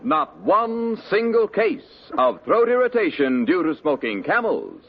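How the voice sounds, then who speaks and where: High-voiced old-time radio announcer. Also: male voice, big pitch swings, a little slow, over music. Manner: formal, declaiming. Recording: dull old radio broadcast.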